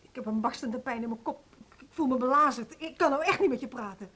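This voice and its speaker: An actress playing a troubled, evasive music teacher in a radio play, curt and halting. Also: female voice, high-pitched, a bit bright. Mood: irritable, withdrawn. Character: troubled, evasive woman music teacher with headache, prone to hysterics. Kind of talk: Dutch radio play acting.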